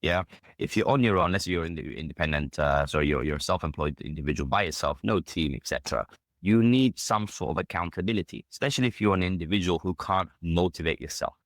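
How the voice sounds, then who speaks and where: British guy on a podcast, deep voice, talks quick, casual n emphatic, keeps dropping you knows. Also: dry recording, studio quality. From United Kingdom. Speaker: podcast guest. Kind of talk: casual personal storytelling.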